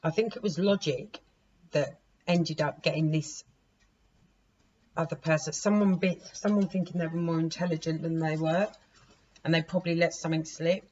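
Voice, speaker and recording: A hoarse woman in her forties giving a casual tarot reading to camera, chatty and laughing at herself as she repeats and restarts. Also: low voice, steady tone, moderate pace, pauses often, mellow. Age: 40s. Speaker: tarot reader. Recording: dull lo-fi recording with faint noise.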